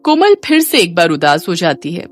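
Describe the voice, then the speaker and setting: Animated female cartoon-story narrator. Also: on the low side, moderate pace, soft background music. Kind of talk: scripted dramatic narration for a cartoon moral story.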